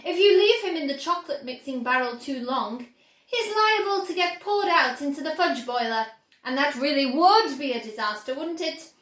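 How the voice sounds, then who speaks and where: Lady doing an eccentric playful factory man from a storybook, high voice, slightly echoey room. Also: expressive, normal speed, fairly bright tone. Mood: brisk, playful.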